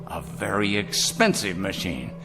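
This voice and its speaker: A man's voice: deep voice, big pitch swings, unhurried, vocal fry, music in the background, full character voice. An actor playing a cold, imperious male factory boss with a pompous, condescending manner in a radio drama.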